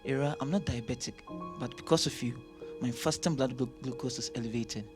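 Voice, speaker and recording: An actor playing an affectionate, teasing young doctor and favoured son in an audio drama, earnest and playful. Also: male voice, mid-range voice, brisk, music in the background, natural voice. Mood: romantic, playful. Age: young adult.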